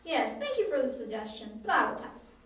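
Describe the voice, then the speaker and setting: Woman as streetwise homeless girl, high voice, pert, wary, independent, audio drama, distant echoey recording. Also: very animated, fairly quick, fairly bright tone. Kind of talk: hobby audio drama acting. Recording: very echoey, distant, faint hiss.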